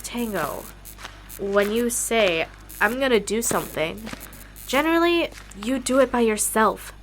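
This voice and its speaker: Woman acting a wary, animated young musician. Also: on the high side, measured pace, slight background noise, rough recording. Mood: reluctant. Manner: suspicious, dry, cautious. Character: wary, sceptical young female wandering musician, sensible one. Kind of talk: audio drama acting.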